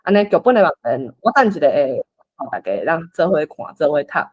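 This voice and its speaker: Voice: medium pitch, big pitch swings, a little fast, husky, lo-fi sound. A person with a Taiwanese accent explaining and organising a group script reading, thinking aloud in an informal way as the facilitator. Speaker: workshop facilitator.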